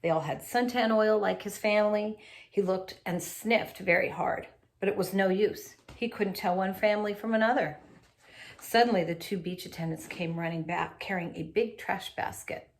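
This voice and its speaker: A woman's voice: medium pitch, moderate pace. Woman reading a picture book aloud expressively. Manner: animated, with asides to young listeners.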